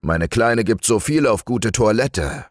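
A man voicing a vain, fussy male bear in a storybook reading, raising his voice high and making it whiny. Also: animated, a little slow, dry recording, clean studio sound.

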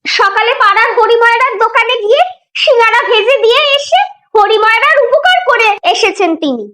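Cartoon part: scolding, exasperated mother acted by a woman, sharp and very high. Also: animated, moderate pace, exaggerated voice. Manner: scolding, sarcastic. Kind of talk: children's cartoon dialogue.

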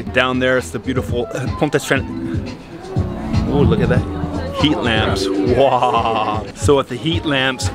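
Enthusiastic male travel vlogger over music. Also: medium pitch. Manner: enthusiastic, emphatic. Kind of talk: upbeat travel vlog presenting to camera. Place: outdoors, city streets and an open rooftop terrace. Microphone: handheld camera at arm's length.

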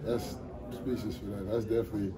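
A young man with a lowish voice speaks easily after a game, padding his answers with "you know" and "uh". Reporters' phones and mics are held close to him in an indoor hallway. Loud crowd chatter runs behind him the whole time. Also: level tone, fast. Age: young adult. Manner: laid-back, many "you know" fillers. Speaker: college football linebacker. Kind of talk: postgame press scrum answers. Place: locker room hallway, indoors, among reporters. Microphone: reporters' phones and handheld mics held close. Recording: noisy press scrum with crowd chatter.

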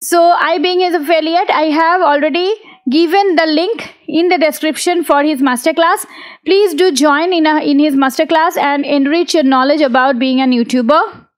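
A woman's voice: high-pitched, fairly even intonation, normal speed. A woman presenting an online tutorial in a polite, earnest way. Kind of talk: tutorial narration and channel appeal.

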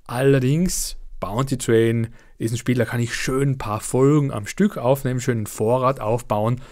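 A man's voice: mid-range voice, steady tone, moderate pace, a little mellow. Chatty man with a slight cold. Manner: chatty, uneven pace with fillers. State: slightly under the weather. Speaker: let's play channel host. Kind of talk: casual monthly update to viewers.

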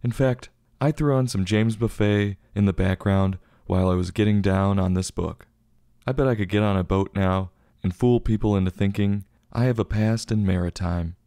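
A man's voice: fairly low pitch, moderate pace, mellow, no echo, clean studio sound. A man hosting a review show, reading a scripted review in a tongue-in-cheek manner. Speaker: book review show host.

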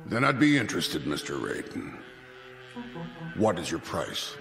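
An actor playing an older army colonel who speaks curtly and makes demands, in a radio drama. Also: male voice, low voice, very animated, a little slow, gravelly, long reverb tail, music in the background, exaggerated voice. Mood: demanding. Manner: curt, demanding.

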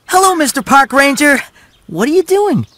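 A small talking mouse for a video game. The voice is high and boyish, with animated pitch. It sounds friendly and eager to help. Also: moderate pace, no room sound, faint music behind, full character voice. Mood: friendly, helpful. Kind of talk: video game cutscene acting.

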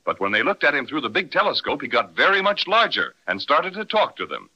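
A man's voice: fairly high pitch, sing-song, fairly quick, pushed and bright, husky, highs cut off. A man narrating a children's story as a 1930s radio announcer. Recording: old 1930s radio recording.

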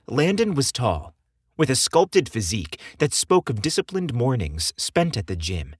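Husky male storyteller, very animated. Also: mid-range voice, unhurried, no echo, clean studio sound. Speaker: podcast host and narrator. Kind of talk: scripted story narration. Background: music behind the voice.

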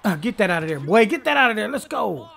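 Hyped American man, higher-pitched voice. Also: big pitch swings, quick pace, gravelly, a bit bright. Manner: slangy, boastful trash talk. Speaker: gaming channel host. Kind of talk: casual hyped gameplay commentary.

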